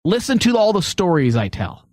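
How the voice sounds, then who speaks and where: A male talk host with a fairly high voice speaks in a casual, storytelling style. He is opinionated and swears offhandedly. The sound is close and dry. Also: big pitch swings, moderate pace, darkish tone, dry recording.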